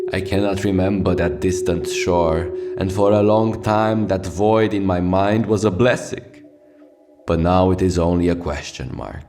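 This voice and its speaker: A man playing a world-weary, theatrical radio host delivering a poetic, profane scripted monologue for a fictional wretched city. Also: sits low. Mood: wistful. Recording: music behind the voice. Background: loud music.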